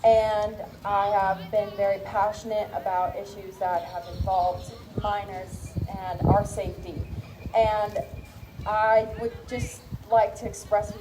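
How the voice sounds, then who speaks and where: A woman's voice: mid-range voice, little pitch movement, takes it slowly. A teenage girl, about fourteen, asking a question as an audience member at a public meeting. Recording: noisy live public event sound.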